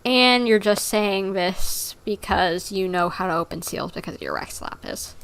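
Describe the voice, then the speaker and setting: A woman's voice: mid-range voice, moderate pace, creaky, fairly bright tone, clean tone. A woman streamer giving casual, chatty live commentary on a game, with game ambience and some noise behind her. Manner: chatty, reacting aloud to the game.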